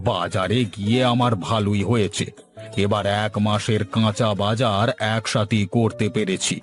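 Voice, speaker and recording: A man's voice: lowish voice, lightly acted. A man voicing a greedy, pompous middle-aged village landlord in a cartoon. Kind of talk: cartoon voice acting.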